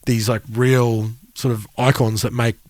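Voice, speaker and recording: A man's voice: medium pitch, flat delivery, unhurried, darkish tone, no echo. A man co-hosting a music podcast, talking in a casual conversational style. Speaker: podcast co-host.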